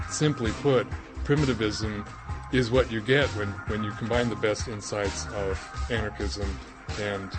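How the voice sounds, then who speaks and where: Man with a lowish voice making his case, stop-and-start, music clearly behind him. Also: fairly even intonation, low-quality recording. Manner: halting, argumentative, with repeats. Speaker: author and theorist. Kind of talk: spontaneous explanatory talk.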